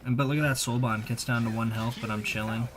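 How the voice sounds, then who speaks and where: Casual male gamer, clear background noise. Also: mid-range voice, steady tone, fast. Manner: slangy, conversational. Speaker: gaming video creator. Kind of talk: casual gameplay voice-over commentary.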